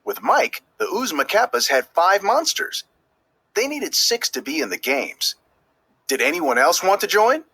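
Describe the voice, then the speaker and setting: A man reading a children's story aloud as scripted narration, with expressive, emphatic delivery that draws out key words. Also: high voice, measured pace, slightly rough. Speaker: storybook reader.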